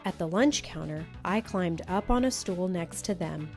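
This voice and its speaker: A woman's voice: fairly low pitch, moderate pace, soft background music. A woman reading a children's story expressively, as scripted narration, acting out the dialogue. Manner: animated, acts out each character's dialogue. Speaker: storybook reader.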